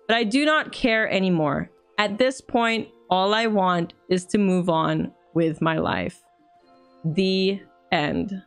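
A young woman streamer reading a viewer's story aloud in an earnest, steady way, then reacting in loose, chatty talk, recorded on a desk mic at home. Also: medium pitch.

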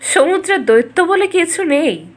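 Mocking, incredulous fairy-tale courtier, played by a female narrator, lifted pitch. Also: lively intonation, a little slow. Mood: scornful. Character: scornful doubting male courtiers mocking a prince. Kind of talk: storybook narration with acted dialogue.

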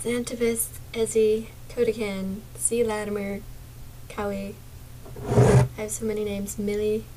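A young woman streaming a game from her small dark bedroom, chatting casually to viewers with a sore, partly lost voice and drifting into mumbles. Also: medium pitch, level tone, unhurried, very noisy. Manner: soft-spoken, drifts into whispers and mumbles. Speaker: gaming streamer.

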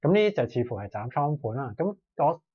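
A young man with a Hong Kong Cantonese accent gives conversational market commentary as a financial reporter, explaining informally with frequent fillers and drawn-out particles. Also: medium pitch, very animated, husky, lo-fi sound. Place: small indoor studio, seated at desk, speaking through a face mask.

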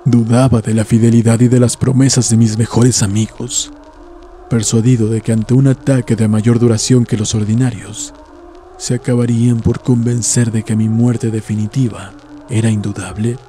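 A man reading a scripted horror story as an audiobook narrator, in a measured, solemn, literary manner. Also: medium pitch, little pitch movement, warm tone. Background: background music.

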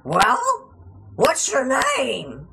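A brusque woman bus driver from an audiobook story, acted by a male narrator, in a high, swinging voice. She draws her words out slowly and bossily in a roomy, noisy recording. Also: wildly swooping pitch, gravelly, exaggerated voice. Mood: snorting, demanding. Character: gruff horse-faced female school bus driver, brusque.

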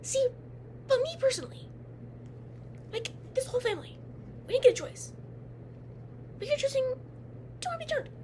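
A woman's voice: very high voice, very animated, moderate pace, pauses often, a little mellow. A young woman playing a haughty, jealous teen vampire girl in a comedic roleplay, blunt and sarcastic with whispered asides, recorded on a webcam in a dim bedroom. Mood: scolding. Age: young adult. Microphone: webcam at close range. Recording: noisy home webcam audio.

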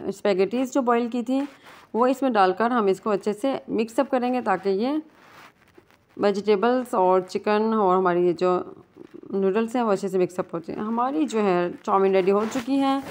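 A woman giving a casual step-by-step recipe voice-over as a cooking channel host, mixing English words into her talk. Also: on the high side. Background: guitar music.

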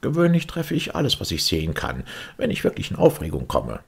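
Guy doing a grumbly doleful storybook twin, childish, pitched up high, raspy. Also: huge pitch swings, a little fast, full character voice. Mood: doleful, boastful. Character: Tweedledee, sulky, mournful, childish twin brother.

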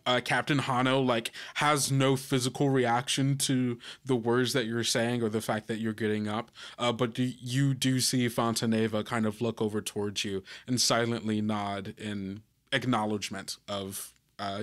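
A man running a tabletop game as game master, improvising suspenseful narration in a halting way. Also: mid-range voice, flat delivery, fairly bright tone.

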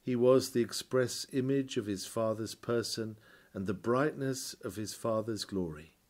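A man reading a scripted historical sermon aloud in a measured, solemn and formal oratorical manner. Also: mid-range voice, a little mellow.

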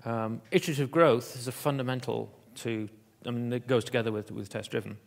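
Gravelly-voiced man giving a halting keynote. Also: mid-range voice, steady tone. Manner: halting, many fillers and restarts. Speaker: keynote speaker. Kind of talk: conference keynote talk.

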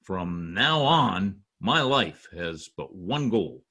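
A man's voice: sits low, big pitch swings, slow pace, pushed and bright, slightly rough, full character voice. A middle-aged man playing an anxious, pompous, theatrical husband in old-time radio comedy style. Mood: anguished. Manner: dramatic, exclaiming. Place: home office, over video call. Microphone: video call computer mic.

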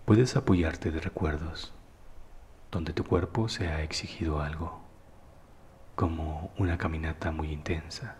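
A man narrating a guided meditation in a calm, soothing, unhurried manner, with phrases that often trail into breathiness. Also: extremely low pitch, long reverb tail.

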